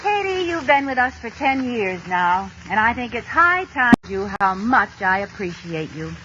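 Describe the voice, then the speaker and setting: An actress playing a scheming, wheedling American housewife in a theatrical 1950s radio comedy style. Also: female voice, a little high, big pitch swings, measured pace. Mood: gushing. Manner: exaggerated, theatrical. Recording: muffled, noisy old radio recording.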